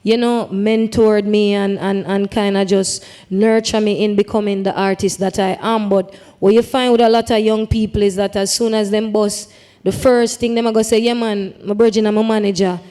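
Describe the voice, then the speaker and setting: A woman's voice: medium pitch, little pitch movement, normal speed, mellow, clean tone. A woman with a Jamaican accent, a music artist speaking on a conference panel, thoughtful and drifting into patois phrasing. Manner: reflective, slips into patois phrasing. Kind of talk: panel discussion.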